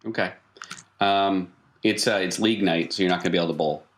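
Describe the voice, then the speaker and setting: A man's voice: low voice, quick pace, a little hiss or hum. A man speaking as game master, narrating casually and prompting his players with questions. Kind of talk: improvised tabletop game narration.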